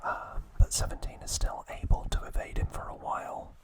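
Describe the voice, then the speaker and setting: A man hosting an ASMR video, recapping in a soft, unvoiced whisper. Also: brisk. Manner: brief aside to his cat. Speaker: ASMR host.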